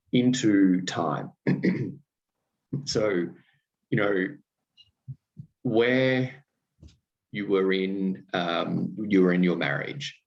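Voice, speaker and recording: A man with an Australian accent hosting a casual interview, talking haltingly with many fillers and restarts, laughing easily. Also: deep voice, highs cut off. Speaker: podcast host.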